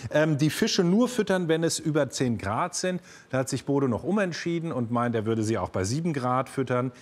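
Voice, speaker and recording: A man's voice: medium pitch, animated, moderate pace. Man, quiz host, unscripted explanations, mid-range voice.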